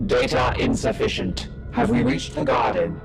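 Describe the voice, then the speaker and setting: An actor playing a stern, analytical warrior commander in a game cutscene, clipped and terse, over loud music. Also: male voice, medium pitch, sing-song, moderate pace, gravelly, rough recording. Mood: terse, questioning. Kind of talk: dramatic game cutscene acting.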